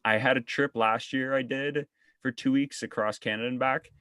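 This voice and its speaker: A man's voice: mid-range voice, fairly even intonation, moderate pace, bright, forceful tone, dry recording, clean studio sound. A young man hosting a podcast, chatting casually and often restarting his sentences. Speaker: podcast host. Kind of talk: casual conversation.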